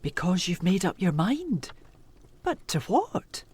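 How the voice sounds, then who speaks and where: Worried, deferential gothic drama housekeeper: slow, hesitant, extremely animated intonation. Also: female voice, fairly low pitch, a little hiss or hum. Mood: alarmed. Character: anxious, deferential housekeeper, simple and kindly. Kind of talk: gothic audio drama acting.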